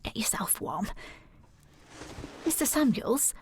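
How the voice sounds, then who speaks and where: Hushed, motherly, fretful housekeeper. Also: fairly high pitch, sing-song, takes it slowly, in a whisper. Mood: fussing, concerned. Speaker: actor in an audio drama. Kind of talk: period audio drama acting.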